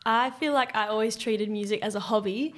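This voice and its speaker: Brief: young Australian woman, slightly high voice, earnest and friendly guest. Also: brisk, fairly bright tone. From Western Australia. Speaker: guest, band musician. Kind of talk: casual interview chat.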